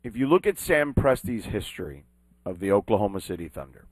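A man hosting a sports talk show, talking with emphatic, animated delivery. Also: on the low side, brisk, no room sound. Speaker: sports talk radio host. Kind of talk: sports talk and live read ad.

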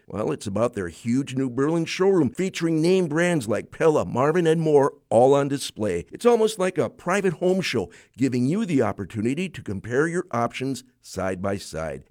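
Older man, upbeat radio ad read. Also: darkish tone. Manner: friendly, upbeat pitchman. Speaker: retired radio host reading an ad.

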